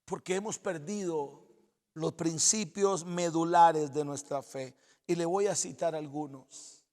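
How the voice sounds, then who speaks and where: A man's voice: a little high, takes it slowly, darkish tone, in a large hall. A male pastor preaching a sermon in earnest, exhorting tones, repeating phrases for emphasis.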